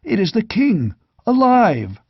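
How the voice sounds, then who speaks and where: A man voicing an excited, fervent knight hailing his king in an exclamatory way, for a fantasy audiobook. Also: high voice, slow, gravelly, husky, no echo, dull audio, voice pushed up, full character voice. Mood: awed joy.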